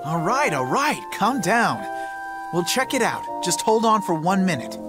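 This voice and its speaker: A man's voice: high-pitched, sing-song, a little slow, music in the background. A man voicing an easygoing, wisecracking young man in a video game, laid-back and teasing. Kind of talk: video game cutscene voice acting.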